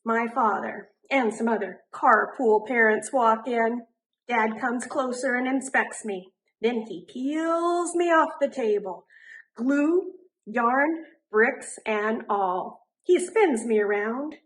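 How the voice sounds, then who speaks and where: A woman reading a children's picture book aloud in an animated way, drawing out words for emphasis. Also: a little high, slight background noise, poor audio. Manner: animated, deliberate, drawn-out emphasis on rhyming words. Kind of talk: expressive children's storybook narration.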